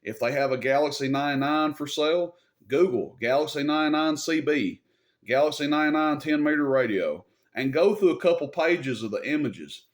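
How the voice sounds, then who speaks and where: A man's voice: fairly high pitch, a little fast. Plain-spoken American man talking casually to viewers. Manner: plain-spoken, folksy, mildly salty. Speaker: video host and CB radio seller. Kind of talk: unscripted casual advice and storytelling to viewers.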